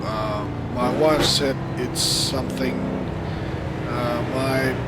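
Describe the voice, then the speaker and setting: Very slow-talking hoarse man. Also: medium pitch. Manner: halting, many pauses and restarts. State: hoarse from flu. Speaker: sim racing gamer. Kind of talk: gameplay commentary. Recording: loud steady background noise.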